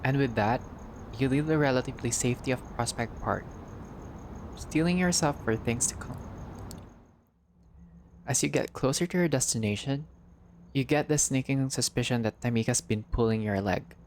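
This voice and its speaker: A man with a mid-pitched voice narrates a visual novel playthrough. He reads the text like a storyteller and drops in short, casual asides. Clear background noise and ambience sit behind his voice. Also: moderate pace, long pauses. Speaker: gaming channel player reading a visual novel aloud. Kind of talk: playthrough narration, reading game text aloud.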